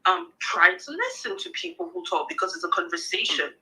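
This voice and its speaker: A woman's voice: medium pitch, very animated, fairly quick, bright, forceful tone, low-quality recording. A woman from Cameroon calling in live, who keeps pressing her question to the host. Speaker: caller. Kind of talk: live call-in argument.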